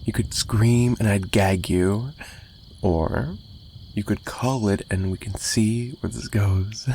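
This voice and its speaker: A man's voice: fairly low pitch, fairly even intonation, slow, darkish tone, slight background noise. A man playing an obsessive, menacing mafia kidnapper in an ASMR roleplay, possessive and teasing, with a taunting delivery that swings between murmurs and giggles. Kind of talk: dramatic ASMR roleplay monologue.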